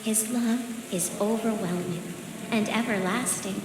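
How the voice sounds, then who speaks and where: A woman's voice: medium pitch, unhurried, natural voice. An actress playing a devout, consoling woman in a video game, solemn and preachy in delivery. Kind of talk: dramatic video game dialogue. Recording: echoey reverberant hall with rain noise.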